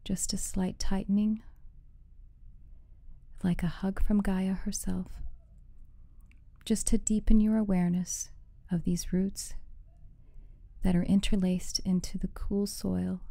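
A woman leading a guided meditation as the guide, giving soothing, measured instructions with phrases that soften into breathiness. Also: mid-range voice, creaky, no echo.

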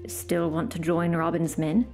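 A woman's voice: on the low side, completely flat delivery, unhurried, darkish tone, faint music behind. Woman doing a curt, weary outlaw. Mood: dry.

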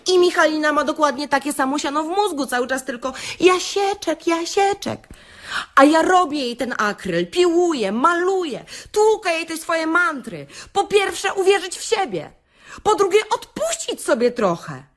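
A woman's voice: extremely high pitch, sing-song, moderate pace, fairly bright tone, full character voice. A woman playing a brash, sarcastic beautician in a satirical radio-play monologue, theatrical and full of rhetorical questions to her listeners. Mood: exasperated. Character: brash, sarcastic beautician delivering a comic self-help rant. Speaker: actress reading a comic monologue.

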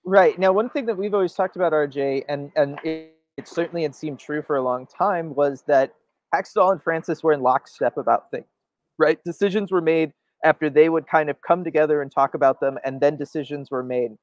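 A man's voice: a little high, fairly quick, no echo. A man co-hosting a sports show, giving emphatic opinionated commentary and often checking for agreement. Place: indoor room, video call.

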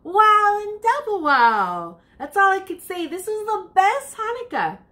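A woman voicing a curious, excitable young girl from a storybook, with eager, wondering emphasis. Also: very high voice, a little slow, voice pushed up, exaggerated voice. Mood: thrilled.

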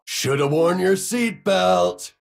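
An actor playing a brash, cocky racing robot in a video game, with a gravelly, husky voice and a boisterous drawl. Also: male voice, high voice, expressive, takes it slowly, a crowd in the background, heavily acted. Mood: smug. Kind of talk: video game character voice lines.

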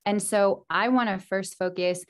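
A woman's voice: mid-range voice, fairly even intonation, measured pace. A woman in her thirties, a little froggy from a recent throat infection, giving an earnest, upbeat talk as a guest speaker over a video call from her kitchen at home. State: recovering from strep throat and bronchitis, a bit froggy. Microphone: video call, laptop camera.

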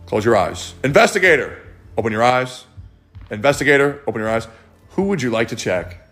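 A man's voice: mid-range voice, very animated, fairly quick, a bit bright. A man acting as narrator of a mafia party game, calling out roles with a playful, laughing manner. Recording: livestream with music behind.

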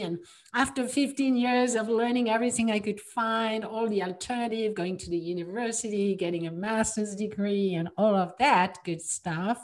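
Female podcast guest, French accent, slightly higher register, casual animated storyteller. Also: rough recording. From France. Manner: animated, laughs often, exclamatory. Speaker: podcast guest, psychotherapist and coach.

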